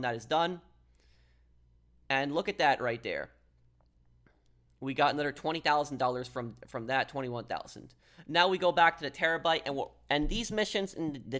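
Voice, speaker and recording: A man's voice: fairly high pitch, a bit bright. A man giving a casual, step-by-step gameplay tutorial in an instructional way, like an online gaming guide host.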